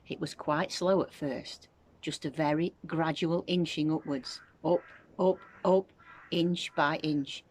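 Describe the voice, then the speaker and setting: A woman reading a children's story aloud as a teacher would, with expressive narration. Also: deep voice.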